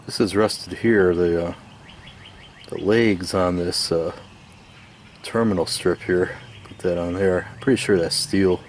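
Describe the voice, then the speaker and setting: Clear background noise sits behind a man with a fairly low voice. He talks casually through hands-on restoration work. His delivery is unhurried and hesitant, as if thinking out loud. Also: steady tone. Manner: unhurried, hesitant, musing aloud. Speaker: radio restoration hobbyist.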